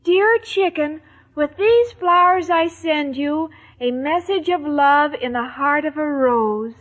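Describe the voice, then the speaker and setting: A woman playing a naive, sweetly excitable young bride-to-be who takes everything literally, in a 1940s radio sitcom. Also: high-pitched, takes it slowly. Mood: sweet, excited. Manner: earnest, guileless, literal-minded. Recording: dull 1940s radio recording, studio audience.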